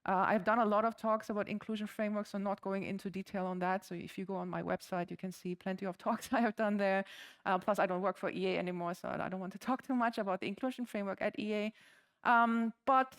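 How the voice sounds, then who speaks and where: A woman's voice: mid-range voice, fairly even intonation, fast, smooth. A woman in her forties from Germany, with a German accent, giving a friendly, chatty conference talk to a live audience, with frequent ums and uhs. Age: 40s. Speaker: conference speaker. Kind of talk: conference presentation.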